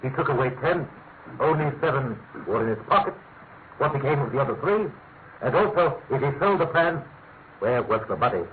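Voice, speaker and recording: An actor playing an authoritative senior government official in a classic radio drama, formal and urgent. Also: male voice, medium pitch, expressive, normal speed, natural voice. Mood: grave, reasoning. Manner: commanding, formal. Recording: tinny, faintly noisy vintage radio.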